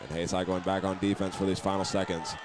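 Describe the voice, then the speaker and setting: An American man calling live high school basketball as a play-by-play radio announcer. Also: little pitch movement, fast talker, crowd noise, background noise. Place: indoor auditorium at a basketball game.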